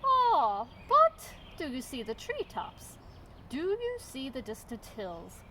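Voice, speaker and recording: A woman reads the part of a female giraffe from a children's storybook, high in pitch and very animated. She is blunt and sure of herself. She lectures with condescending emphasis, over some faint background noise. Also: slow, exaggerated voice. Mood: smug, lecturing. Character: blunt, self-assured female giraffe, matter-of-fact and condescending. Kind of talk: children's storybook read-aloud.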